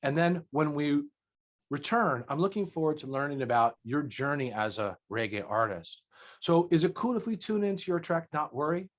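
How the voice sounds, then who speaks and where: A man hosting a casual interview, praising his guest generously and letting his phrases trail off softly. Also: fairly high pitch, lively intonation, normal speed. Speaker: interviewer host.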